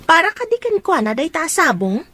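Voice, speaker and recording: High-voiced teasing young Filipino woman played by an actress. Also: very animated, brisk, sharp tone, exaggerated voice. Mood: breezy. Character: Arlene, teasing young female best friend. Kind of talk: radio drama acting.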